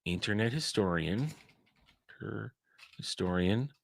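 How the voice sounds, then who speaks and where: Excitable rambling male streamer. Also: on the low side, takes it slowly, gravelly, no echo. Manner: rambling, excitable, restarts often. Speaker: livestream host. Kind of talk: casual livestream chat.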